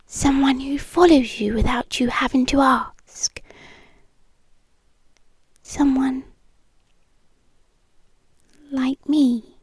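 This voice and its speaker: A breathy British woman performing as a yandere girlfriend, speaking softly and very close in a dry ASMR recording. Her voice is high and often slips into a whisper. She is coaxing, doting and quietly possessive. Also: fairly even intonation, dry recording, exaggerated voice. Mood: jealous, possessive. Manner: slow, soft, intimate and coaxing. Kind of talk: intimate ASMR roleplay. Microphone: close microphone, dry.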